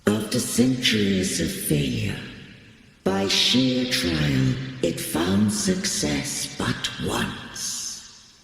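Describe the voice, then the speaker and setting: A man voicing an ancient godlike sentinel in a video game, speaking in solemn, archaic, grandiose measured phrases. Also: fairly low pitch, very animated, gravelly, a bit bright, heavily acted. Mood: solemn, grave. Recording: cavernous reverb, music underneath.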